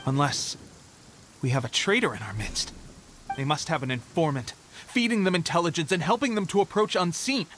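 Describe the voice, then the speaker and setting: High-voiced, boastful young soldier. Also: male voice, sharp tone, slight background noise. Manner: self-important, competitive. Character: eager, boastful young male resistance soldier. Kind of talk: scripted video game character acting.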